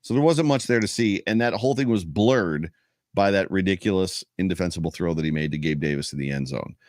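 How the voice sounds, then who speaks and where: A man hosting a sports podcast, with a wry, teasing manner and drawn-out answers. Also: deep voice, darkish tone, no room sound, studio quality. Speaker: podcast host. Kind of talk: casual podcast chat.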